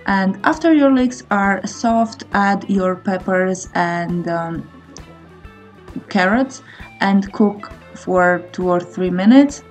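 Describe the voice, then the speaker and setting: A woman's voice: mid-range voice, slow. A woman in her thirties giving a casual recipe voice-over as a cooking vlogger. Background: faint guitar music.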